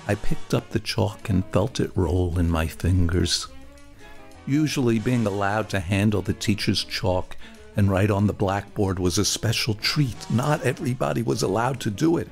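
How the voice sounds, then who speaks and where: A male storyteller with a creaky, gravelly voice narrates a first-person memoir from a script. He is theatrical and deliberate, stretching words for emphasis. Music plays faintly underneath. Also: fairly low pitch. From Brooklyn, New York, United States.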